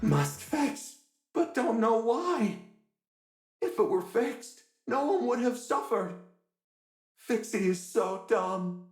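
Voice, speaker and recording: A woman's voice: a little high, very animated, slow pace, pauses often, darkish tone, echoey room, soft background music. A woman voicing a simple-minded, downcast repair hologram in a video game, in short self-deprecating phrases. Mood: glum, confused. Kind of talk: video game cutscene acting.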